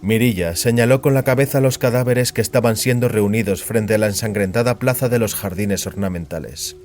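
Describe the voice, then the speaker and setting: A man narrating a dramatized story as scripted fiction, in a grave, deliberate storytelling manner. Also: on the low side, darkish tone. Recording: music behind the voice.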